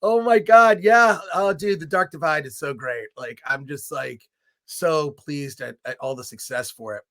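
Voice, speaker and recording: A man's voice: fairly high pitch, measured pace, pushed and bright. A man hosting a podcast in a casual, enthusiastic chat, his voice a little scratchy. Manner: chatty, enthusiastic, many restarts. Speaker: podcast host.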